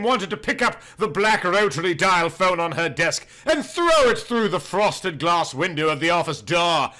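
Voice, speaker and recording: A man with a fairly high, bright voice narrates a pulpy comic story. His delivery is theatrical and mock-serious, swinging widely in pitch as he reads. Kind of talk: scripted, theatrical audiobook narration.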